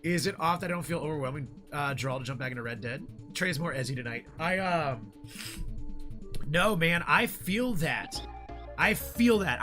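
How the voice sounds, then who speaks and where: A hoarse young man streaming a game, chatting casually with viewers in a rambling way with lots of fillers. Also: a little high, pushed and bright. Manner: rambling, frequent fillers, reads chat aloud. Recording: game music behind the voice.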